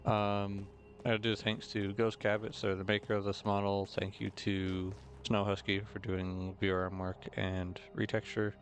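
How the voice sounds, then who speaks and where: A young man with a slightly hoarse, rough throat, chatting casually and rambling through gameplay commentary with many fillers and self-corrections. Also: fairly low pitch, monotone, moderate pace. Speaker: gaming video host. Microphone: desk mic with noise gate.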